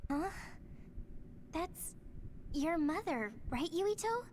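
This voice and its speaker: A woman's voice: very high-pitched, big pitch swings, extremely slow, a little mellow, slight background noise, exaggerated voice. A woman voicing a puzzled, inquisitive girlish young teammate in a game cutscene. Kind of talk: dramatic game cutscene acting.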